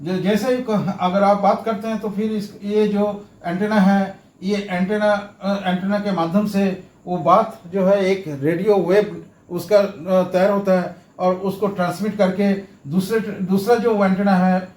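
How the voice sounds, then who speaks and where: High-voiced man explaining in an echoey room. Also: fairly even intonation, unhurried, a little mellow. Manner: explains step by step, often repeating phrases. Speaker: presenter of a how-to channel. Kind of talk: informal explainer lesson.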